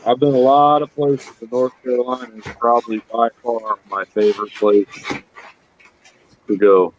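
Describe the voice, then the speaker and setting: A man in his thirties reminiscing in a relaxed casual chat. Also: mid-range voice, moderate pace, a little hiss or hum, low-quality recording. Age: 30s.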